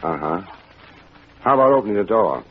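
An American actor playing a wry, unflappable private detective in a 1950s radio drama, deadpan and wisecracking. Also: male voice, lowish voice, a little fast, slightly rough. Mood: dry, teasing. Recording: dull old radio recording, music behind.

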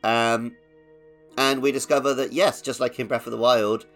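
A man's voice: medium pitch, steady tone, moderate pace, fairly bright tone, soft background music. A man co-hosting a podcast, chatting casually about playing video games. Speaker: podcast co-host.

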